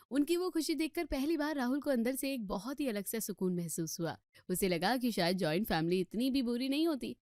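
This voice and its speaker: A woman's voice: medium pitch, darkish tone, no room sound, studio quality. A woman narrating a scripted family bedtime story as the storyteller.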